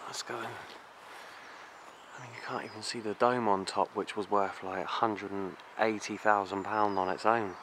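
Excitable British explorer outdoors, low voice. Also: male voice, normal speed. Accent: British English. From England. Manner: excitable, hushed then loud, slangy. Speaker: urban explorer vlogger. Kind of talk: handheld exploring vlog. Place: outdoors on open grounds. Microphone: handheld action camera. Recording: noisy outdoor camera audio.

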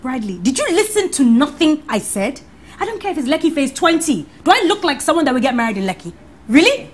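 Haughty young bride, high swooping voice, imperious, melodramatic, comedy film. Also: female voice, sing-song, fast, a little hiss or hum. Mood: exasperated, demanding. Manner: imperious, exasperated, theatrical rhetorical questions. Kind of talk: screen comedy acting. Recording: music playing behind the dialogue.

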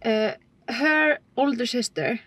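A woman's voice: fairly high pitch, lively intonation, slow pace, fairly bright tone. A young woman from Iceland in her twenties, chatting playfully and laughing from the passenger seat of a car. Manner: laughs often, playful teasing. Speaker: passenger and co-host. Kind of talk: casual chat. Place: inside a moving car. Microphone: camera on the dashboard. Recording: car noise behind the voice.

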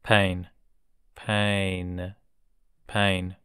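A man's voice: low voice, flat delivery, drawn-out words, fairly bright tone, clear, resonant tone, dry recording, clean studio sound. A man teaching English words for illness, saying each word three times and then each sentence word by word. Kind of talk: slow drilled vocabulary reading.

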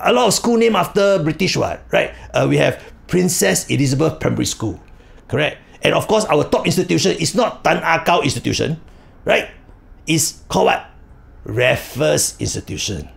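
Comic bit: a man as a boastful Singaporean uncle with a Singaporean accent, lively pitch, rough gravelly voice. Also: normal speed, heavily acted. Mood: boastful chatty. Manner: chatty, rhetorical tags like right or not and huh. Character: proud chatty Singaporean uncle, royalty-obsessed parade fan. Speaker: comedic character monologue. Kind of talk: comic monologue in Singlish.

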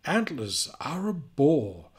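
A man's voice: a little high, big pitch swings, slow pace, slightly rough, pitched above the performer's own voice, exaggerated voice. A man voicing a vain male lion from a picture book in an emphatic, self-assured way. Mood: dismissive.

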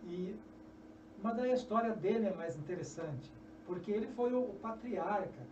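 A high-pitched middle-aged man with a Brazilian Portuguese accent tells a long story as an interview guest, lively and prone to restarting his sentences. He sounds set back from the mic in a roomy, echoey studio. Audible background noise sits under his voice. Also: normal speed. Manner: animated storyteller, gestures often, restarts phrases. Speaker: guest, journalist and author. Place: small indoor podcast studio. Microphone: desk mic on a stand. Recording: echoey, distant, dull, noisy.

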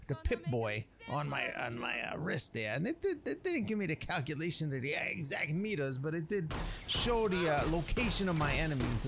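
Man putting on a brash, gravelly streetwise storyteller. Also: on the high side, background noise. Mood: breezy, boastful. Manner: streetwise wise guy, slangy, rambling, emphatic. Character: brash old wasteland chef recounting a legend. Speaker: narrator of a roleplay series. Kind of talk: improvised in-character game commentary.

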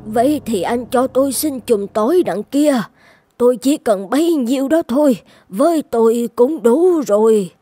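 A woman voicing a kindly, anxious old village midwife in an animated fairy tale, with an earnest, drawn-out delivery. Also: fairly high pitch, slightly rough, dry recording. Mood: humble. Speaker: voice actor.

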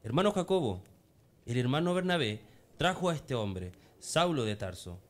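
Man acting an expressive, swooping devout deacon. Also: mid-range voice, normal speed, lightly acted. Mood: hesitant. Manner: exclamatory, expressive. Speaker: radio drama actor. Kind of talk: amateur religious radio drama.

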